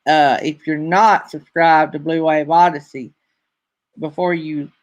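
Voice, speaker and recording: A man's voice: a little high, little pitch movement, measured pace, dull audio. A man with a Southern accent hosting a casual live-stream chat, rambling along with plenty of fillers. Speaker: host.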